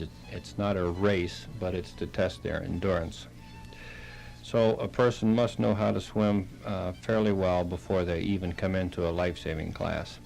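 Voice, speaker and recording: A man, a halting and plain-spoken interview guest, explaining things on the radio. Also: sits low, vocal fry, a little mellow, poor audio. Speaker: interview guest, Red Cross chapter director.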